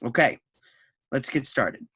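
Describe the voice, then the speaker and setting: A man's voice: medium pitch, animated, takes it slowly, bright, forceful tone, breathy edge, no room sound. Man reading narration, close dry sound. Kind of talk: scripted narration of an introduction and stage directions.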